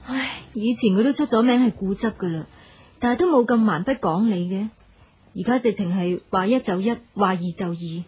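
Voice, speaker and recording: A woman with a Hong Kong Cantonese accent playing a sensible, persuasive sister in a business family, earnest and gently chiding, in a radio drama. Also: mid-range voice, normal speed, breathy edge, highs cut off. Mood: disapproving.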